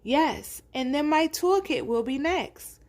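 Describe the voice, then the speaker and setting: A woman's voice: sits high, animated, slow, darkish tone, voice pushed up. A woman voicing a concerned father goat, a proud but firm parent with a questioning manner, in a storybook.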